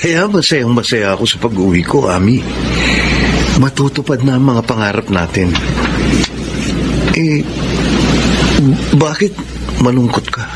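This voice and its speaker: A man's voice: medium pitch, lively intonation, measured pace, pauses often, lightly acted. An actor playing a devoted homecoming seaman boyfriend, earnest and tender, in a Filipino radio melodrama. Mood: hopeful, concerned. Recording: loud vehicle-like background noise.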